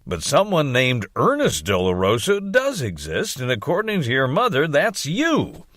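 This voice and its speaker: A man's voice: medium pitch, wildly swooping pitch, normal speed, fairly bright tone, no echo, studio quality. A man voicing a stern, disgusted male school official in an audiobook, with formal, accusatory, emphatic delivery. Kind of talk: acted audiobook dialogue.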